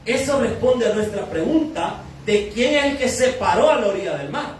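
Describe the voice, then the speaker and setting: A man's voice: high voice, lively intonation, moderate pace, a little hiss or hum. A Salvadoran man preaching a sermon as pastor, teaching through questions he asks and answers himself. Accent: Salvadoran Spanish. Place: church hall. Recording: echoey church hall from far off.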